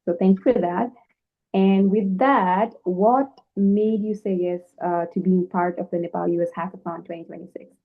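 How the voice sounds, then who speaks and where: A woman's voice: on the low side, fairly even intonation, normal speed. A woman hosting an interview over a video call, bubbly and enthusiastic, with frequent fillers. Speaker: host. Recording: tinny phone-like call line.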